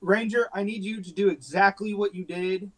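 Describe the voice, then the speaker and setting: High-voiced, hesitant man at game table. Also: steady tone, moderate pace. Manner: hesitant, repeats and rephrases questions. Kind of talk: casual in-game chat.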